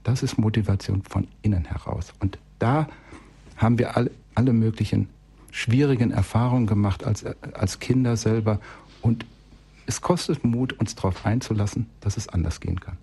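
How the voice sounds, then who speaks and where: A man's voice: fairly low pitch, normal speed, vocal fry, warm tone, breathy edge. A man telling an anecdote as an expert guest in a radio interview, acting out bits of dialogue as he goes. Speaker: expert guest, child-development specialist.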